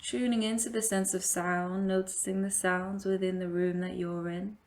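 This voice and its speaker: A woman leading a guided meditation as a yoga teacher, giving gentle, invitational instructions with long pauses. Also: mid-range voice, level tone.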